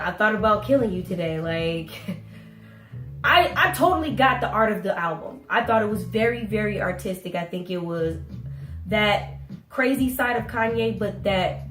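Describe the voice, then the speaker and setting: A woman co-hosting a casual chat show, stuffy from a cold, laughing often and talking in an animated way. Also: mid-range voice, normal speed, roomy sound, soft background music. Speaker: co-host.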